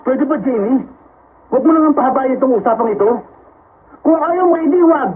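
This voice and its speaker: An actor playing a greedy young village official in a radio drama, dismissive and swaggering. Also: male voice, very high-pitched, animated, normal speed. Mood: brash. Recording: tinny phone-like sound, faint hiss.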